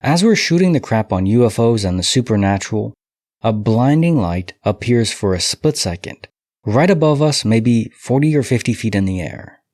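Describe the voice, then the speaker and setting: A man hosting a podcast, reading listener stories aloud and musing on them in a relaxed, speculative way with light chuckles. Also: medium pitch, normal speed, no echo, studio quality. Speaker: podcast host.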